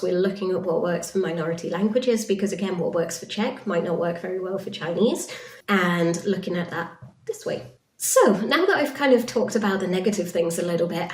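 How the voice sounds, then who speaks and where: A woman's voice: medium pitch, a little mellow. A woman giving an informal presentation in a frank, conversational, explanatory way. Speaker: conference presenter, industry consultant. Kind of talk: informal conference-style presentation. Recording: roomy echo, distant microphone.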